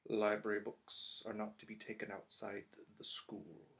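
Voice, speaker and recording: A cold, spiteful schoolteacher, as a male storybook narrator puts him on, speaking slowly in a clipped sneer. The voice sits a little low and is held back, with a dry edge. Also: fairly even intonation, vocal fry.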